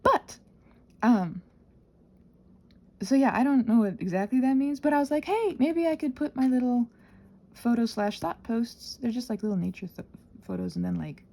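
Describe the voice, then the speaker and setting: Animated, mellow-voiced woman rambling casually. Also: on the high side, normal speed, vocal fry, long pauses. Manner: playful, self-deprecating, tangent-prone; expressive, acting out her own thoughts. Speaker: ASMR and audio content creator.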